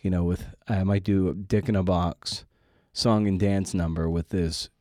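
A man's voice: deep voice, steady tone, moderate pace, darkish tone, dry recording, clean studio sound. A man hosting a podcast, chatting casually in a rambling, informal way with playful asides. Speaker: podcast host. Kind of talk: casual interview and outro chat.

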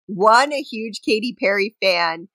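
A woman chats casually as a podcast co-host in a mid-pitched voice that moves up and down a lot. She is wry and teasing, recorded very dry. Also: expressive, measured pace, pushed and bright, no room sound. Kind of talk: casual chat.

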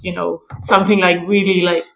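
A woman from Denmark, a podcast host who speaks softly and listens attentively. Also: on the low side, little pitch movement, fairly quick, creaky, low-quality recording. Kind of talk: interview conversation.

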